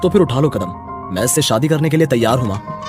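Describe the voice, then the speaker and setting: A man's voice: mid-range voice, lively intonation, quick pace, over music, natural voice. A man voicing a devoted, protective young husband and son in a dramatic moral story. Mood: earnest.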